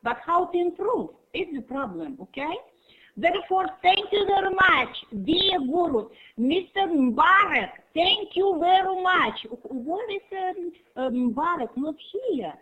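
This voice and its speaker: An older woman with a bright, high voice, German her first language, rambling on with gushing praise in halting English. She sounds squeezed and thin, like audio from a phone line or cheap online call. She speaks into a computer mic on a video call. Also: very animated. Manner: rambling, effusive, halting non-native English. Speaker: conference participant, human resources teacher. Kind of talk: online conference chat.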